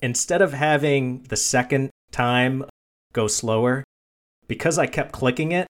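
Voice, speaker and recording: A man's voice: medium pitch, measured pace. A man hosting a casual film discussion in a jokey, teasing manner. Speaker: podcast host.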